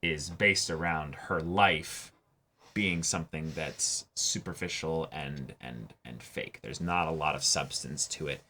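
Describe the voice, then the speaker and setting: A man's voice: rumbling low, measured pace, pushed and bright, slight background noise. A man co-hosting an anime reaction show, talking through ideas in a thoughtful, analytical way with many fillers and restarts. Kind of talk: casual discussion.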